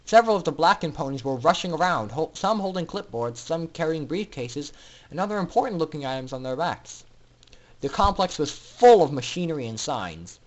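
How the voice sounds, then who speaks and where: A man's voice: fairly high pitch, big pitch swings, fairly quick, low-quality recording. A man reading a story aloud as narrator in a theatrical, dramatic style. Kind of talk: dramatic scripted fan fiction narration.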